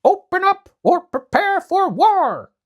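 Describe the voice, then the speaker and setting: A man's voice: very high-pitched, wildly swooping pitch, a little slow, fairly bright tone, voice pushed up, heavily acted. A man voicing an eager male dog companion in a storybook, yapping barks between words. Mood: fierce. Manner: yappy, interjects barks.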